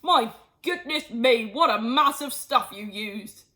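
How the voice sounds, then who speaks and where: A woman voicing an excitable, impatient father in a storybook, exclaiming and urging. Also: on the high side, slow, exaggerated voice. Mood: amazed.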